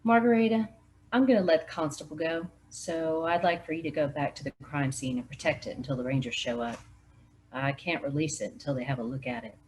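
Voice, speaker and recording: A woman reading as a calm small-town sheriff. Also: sits low, brisk, slight background noise, natural voice, rough recording. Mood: instructing.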